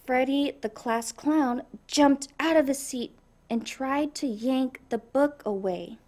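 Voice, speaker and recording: Woman, high-pitched, slow-paced, expressive children's read-aloud, speaks to young listeners. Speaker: storybook reader.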